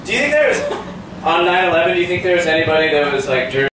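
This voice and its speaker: A man's voice: on the high side, sing-song, rapid-fire. A man in his twenties doing live stand-up comedy at an open mic, ranting in a profane, incredulous way. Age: 20s. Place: small cafe comedy night, indoors. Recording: distant mic, echoey noisy live room.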